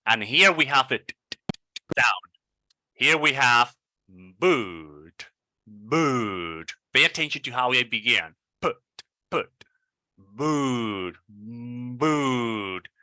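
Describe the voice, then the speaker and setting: A man from Venezuela with a Venezuelan Spanish accent, coaching English pronunciation and repeating model words slowly and deliberately. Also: mid-range voice, expressive, sharp tone, no echo.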